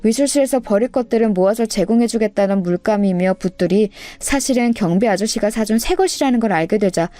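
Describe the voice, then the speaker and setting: Mellow woman narrating a story. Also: medium pitch, a little fast, hardly any pauses, dry recording, faint music behind. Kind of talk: scripted narration of listener stories, radio-like.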